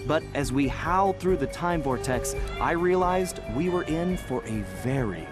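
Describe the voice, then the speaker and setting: Lively, cocky raccoon master thief. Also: male voice, a little high, unhurried. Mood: reflective. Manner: wry, smooth storytelling. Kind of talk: animated video game narration and dialogue. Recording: clear music bed behind.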